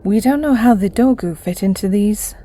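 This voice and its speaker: Mellow, kindly woman explorer, played by an actress. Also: medium pitch, moderate pace, a little hiss or hum, lightly acted. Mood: stern. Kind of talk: audio drama acting.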